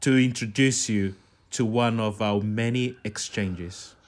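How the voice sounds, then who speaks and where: Calm low-voiced man guiding slowly. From Brazil. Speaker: dance artist. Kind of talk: guided narration.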